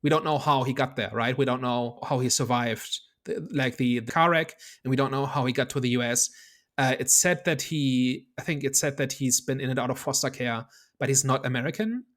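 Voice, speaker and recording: A man's voice: medium pitch, fairly quick, a bit bright. Male podcast host, casual analytical film talk, mid-range voice. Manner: analytical, thinks aloud with hedges. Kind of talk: casual movie review chat.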